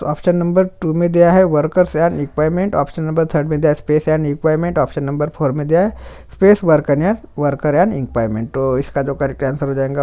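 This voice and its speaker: A young man with an Indian accent, reading multiple-choice exam questions over a screen recording. His voice sits a little high, and he reads each question slowly and deliberately, then names the options more quickly. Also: mellow, clean tone. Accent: Indian English mixed with Hindi. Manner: slow deliberate reading of questions, faster when giving options. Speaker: exam prep teacher. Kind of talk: reading quiz questions aloud.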